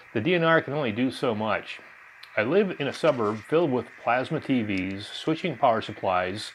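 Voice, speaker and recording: A man's voice: lowish voice, a bit bright, slight background noise, rough recording. A man narrating an amateur radio video from a prepared script.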